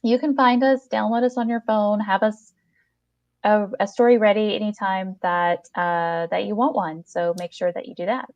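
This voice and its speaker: A woman's voice: medium pitch, steady tone, normal speed, dry recording. Effusive woman podcast host, dull dry recording. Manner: effusive, encouraging. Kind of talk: casual interview chat with plugs.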